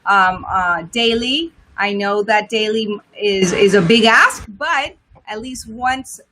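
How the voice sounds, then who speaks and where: A woman co-hosting a casual bilingual morning chat, bubbly and quick to laugh, moving between Spanish and English. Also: medium pitch, noisy background. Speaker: co-host.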